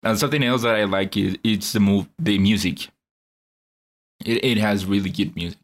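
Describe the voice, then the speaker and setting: Low-voiced, earnest male co-host. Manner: measured, earnest, with restarts. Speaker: podcast co-host. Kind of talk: casual podcast chat.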